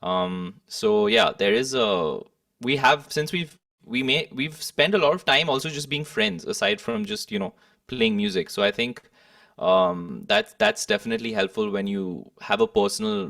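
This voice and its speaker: Young adult male, gravelly low-ish voice, animated pitch swings, reflective interview guest. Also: moderate pace, clean studio sound. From Kolkata, India. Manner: hesitant, thoughtful, hedging phrasing. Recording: echoey room, distant microphone.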